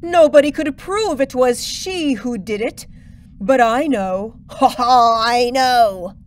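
High, slow-talking theatrical diva, haughty and gossipy, comic audio drama. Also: female voice, animated. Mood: ominous, dramatic. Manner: theatrical, drawn-out delivery. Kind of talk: comedic audio drama acting.

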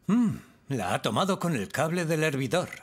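A man voicing a calm, dependable firefighter in a children's cartoon, with a Castilian Spanish accent and a measured, reassuring manner. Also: fairly high pitch, noisy background. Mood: practical. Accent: Spanish from Spain. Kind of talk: dubbed children's cartoon acting.

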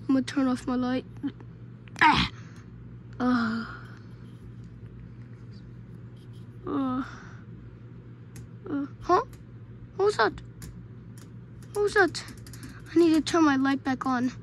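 A female performer voicing a frightened, sleepless boy in an amateur horror skit, whining, halting and pleading as he repeats himself. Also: high-pitched. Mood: weary, creeped out. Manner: whiny, halting, repetitive, pleading. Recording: noisy homemade recording.

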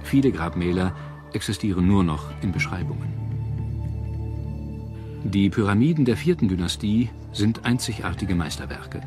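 Male documentary narrator, low register, scripted delivery, clear music bed underneath. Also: animated, normal speed, long pauses. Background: background music.

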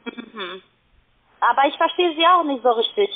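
A woman call centre agent speaking non-native German in a halting, unscripted sales call. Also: sits high, expressive. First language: not German. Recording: narrow tinny phone line.

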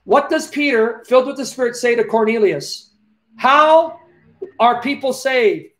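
A man in his forties with a lisp, talking to his webcam in a live question-and-answer stream and reading scripture aloud in an animated, preacherly way. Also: extremely high pitch, a little slow. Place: small dim indoor room. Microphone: laptop webcam, close.

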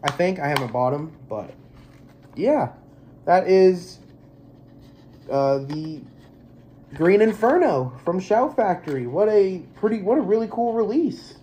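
A man's voice: sits high, very animated, measured pace, long pauses, mellow, slight background noise. Young guy rambling thru an unboxing, lots of ums, bit congested, small bedroom on a webcam mic. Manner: rambling, filler-heavy, easygoing. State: congested from allergies. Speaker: movie collector vlogger. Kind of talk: casual unboxing chat.

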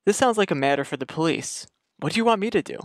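A man plays the incredulous, loyal friend in a radio mystery. He is wry and excitable, with pitch that swings widely. The recording is dry. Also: fast, no room sound. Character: incredulous, loyal friend drawn into grave robbing. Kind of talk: radio mystery drama acting.

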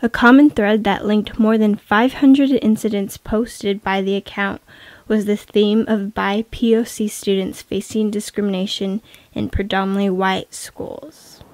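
A woman's voice: mid-range voice, little pitch movement, measured pace, darkish tone, no room sound. Woman reading dry scripted narration.

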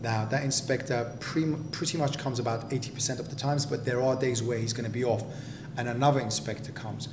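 Guy explaining inspections, practical tone, echoey room with a rumble going. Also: steady tone, fairly quick. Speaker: property developer and general contractor. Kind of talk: explaining in a relaxed home interview.

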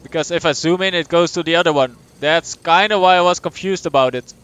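A young man from the Netherlands with a Dutch accent, chatting casually as he streams a game, answering chat and thinking out loud. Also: a little high, a little fast, clear, resonant tone. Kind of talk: live gameplay commentary, reading chat.

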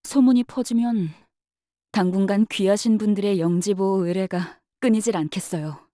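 Dry-witted young knight-bodyguard, played by a woman, mellow. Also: medium pitch, moderate pace, no echo, close to an everyday voice. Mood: satisfied. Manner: polite but curt, matter-of-fact, faintly sardonic. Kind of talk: scripted game character voice lines.

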